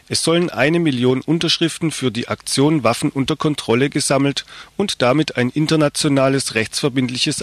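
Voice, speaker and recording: A man's voice: mid-range voice, fairly bright tone, no room sound. A man reading a scripted news report as a radio presenter.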